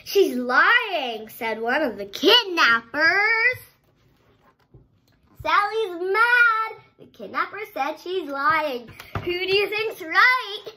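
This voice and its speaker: A young girl reading a story aloud with dramatic, drawn-out emphasis, sometimes stumbling over words. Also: very high voice, sing-song, sharp tone, slight background noise. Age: child, around 8 to 10. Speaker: child presenter reading a picture book aloud. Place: bedroom, indoors.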